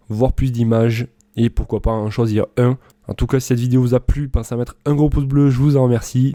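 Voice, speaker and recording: A man's voice: medium pitch, mellow, no echo. A man presenting a product comparison in a casual, loosely improvised voice-over, explaining things informally.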